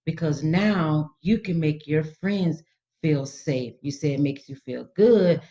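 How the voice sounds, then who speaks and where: A woman's voice: low voice, warm tone. A woman educator explaining things informally to parents as a radio guest, instructive and repeating key words. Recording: slightly dull radio audio.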